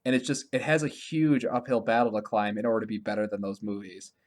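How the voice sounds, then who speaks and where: A man co-hosting a podcast in casual conversation, informal and thinking out loud as he talks. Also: fairly low pitch, fairly even intonation, quick pace. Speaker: podcast co-host. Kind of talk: casual podcast chat about games and films.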